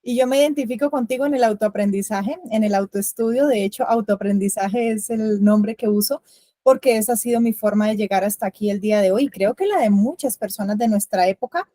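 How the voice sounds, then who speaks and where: A woman hosting an interview, speaking in a measured, explanatory way as she welcomes her guest. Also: mid-range voice, dark tone, no room sound. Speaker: host.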